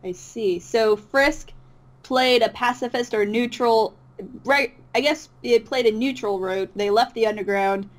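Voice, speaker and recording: A woman's voice: on the high side, unhurried. A woman chatting casually as an interview guest, with a giggly, hesitant way of talking. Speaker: interview guest, voice actor who makes comic dubs. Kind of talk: casual chat.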